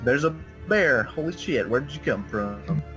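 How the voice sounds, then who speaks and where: A man's voice: mid-range voice, expressive, normal speed, a bit bright, soft background music. A man chatting casually as a co-commentator on gameplay, trading banter.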